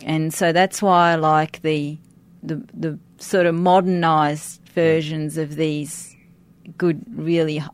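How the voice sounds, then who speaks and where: A woman's voice: deep voice, little pitch movement, takes it slowly, clean tone, no echo. A middle-aged woman who speaks English as a second language, chatting informally as an interview guest and thinking aloud as she goes. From grew up outside Australia. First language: a language other than English. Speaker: interview guest, church worship team member. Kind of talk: conversational interview.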